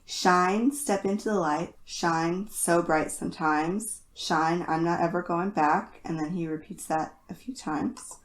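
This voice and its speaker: A woman's voice: fairly low pitch, fairly even intonation, unhurried, hardly any pauses. A woman co-hosting a podcast in a casual, playful, self-deprecating way, recorded with a roomy echo. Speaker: podcast co-host. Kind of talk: casual chat and reading lyrics aloud. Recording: roomy, echoey sound.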